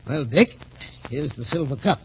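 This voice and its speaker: An actor playing a middle-aged American major who heads a military academy, formal and emphatic, in 1940s radio drama style. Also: male voice, medium pitch, lively intonation, normal speed, lightly acted. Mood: pleased, admiring. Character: stern but appreciative major heading a boys' military academy. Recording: muffled, noisy 1940s radio recording.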